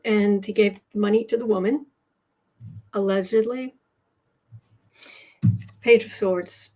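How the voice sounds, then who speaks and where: An American woman hosting a casual tarot livestream, chatting haltingly as she comments and reads out viewer messages, often repeating her words. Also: mid-range voice, fairly even intonation, a little mellow. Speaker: host of a live tarot and astrology show.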